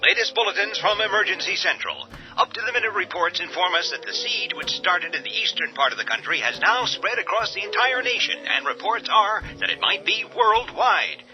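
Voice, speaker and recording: A man playing a radio news announcer in a radio drama, reading bulletins fast and urgently through a radio-set sound. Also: high-pitched, expressive, sharp tone, heavily acted. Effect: radio broadcast sound. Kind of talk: old-time radio drama. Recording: dull lo-fi audio with faint music.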